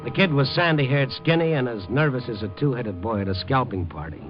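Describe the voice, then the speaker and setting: An American actor playing a world-weary, wisecracking night reporter in wry 1950s radio noir style. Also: male voice, medium pitch, a little fast, lightly acted. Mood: wry, brooding. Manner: wry, hard-boiled, colloquial. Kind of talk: 1950s radio noir first-person narration. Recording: dull old radio recording, music behind.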